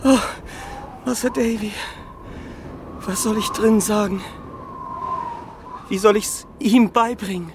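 A devoted young fisherman crying in despair in a radio drama. His words come slowly and brokenly, and clear noise sits behind the voice. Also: high voice, steady tone. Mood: anguished. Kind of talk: German radio play acting.

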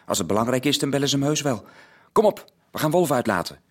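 Man playing a confident teenage sleuth, lively intonation. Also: medium pitch, fast talker, faint music behind, lightly acted. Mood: matter-of-fact. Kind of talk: Dutch radio play acting. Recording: faintly noisy old radio play recording.

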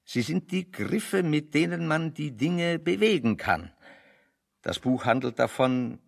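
An actor playing a bookish, wry refugee intellectual in a radio play, expounding philosophy in a pedantic, dryly ironic way. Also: male voice, mid-range voice, vocal fry, full character voice. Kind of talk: radio play dialogue, discursive monologue.

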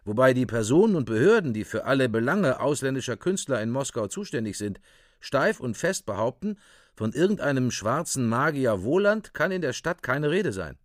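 A man's voice: medium pitch, sing-song, normal speed, dry recording, studio quality. A man giving scripted, report-like dramatic narration in a radio play.

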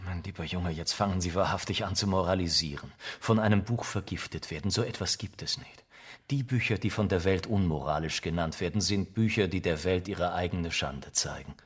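An actor in a German radio drama playing a worldly, cynical, middle-aged aristocrat with an urbane, ironic, theatrical delivery. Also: male voice, sits low, a little fast, husky. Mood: urbane, dismissive. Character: worldly, cynical aristocratic older friend, witty and languid.

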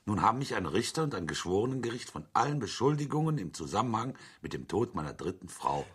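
Radio drama casting: shrewd, insistent claimant, very low raspy voice, articulate and pointed, animated intonation. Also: male voice, quick pace, full character voice. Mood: argumentative, precise. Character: shrewd, insistent widower pressing a dubious insurance claim. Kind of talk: German radio drama acting.

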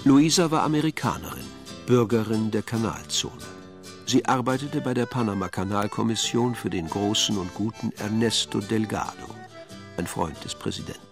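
A man's voice: on the low side, normal speed, music in the background. A man narrating a radio crime drama from a script, in a wry storytelling manner.

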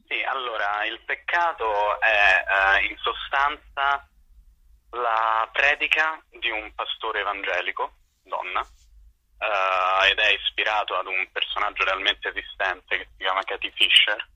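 Male interview guest, low-leaning and very bright voice, hesitant phrasing, dull telephone sound. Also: measured pace, no room sound. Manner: hesitant, searching for words. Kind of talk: spontaneous interview answers. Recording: dull, lo-fi phone line.

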